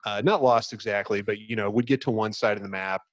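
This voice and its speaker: A man with a fairly low voice hosts a casual gaming discussion. He explains in a loose, halting, rambling manner. The sound is dry, with very little room. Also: fairly even intonation, dry recording. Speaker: podcast host. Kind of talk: casual video game discussion.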